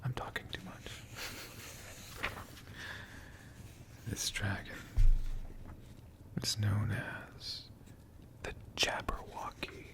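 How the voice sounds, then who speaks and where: A man playing a friendly, bookish dragon who tells stories in a soft, breathy ASMR whisper, gently lingering over words. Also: fairly low pitch, fairly even intonation, drawn-out words, long pauses, exaggerated voice. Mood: gentle, musing. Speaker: ASMR host.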